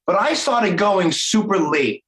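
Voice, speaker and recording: A man's voice: high voice, animated, a little slow, fairly bright tone. A man in his forties hosting an interview, talking loosely and with enthusiasm, full of fillers. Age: 40s. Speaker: podcast host. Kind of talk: casual interview chat. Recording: roomy echo.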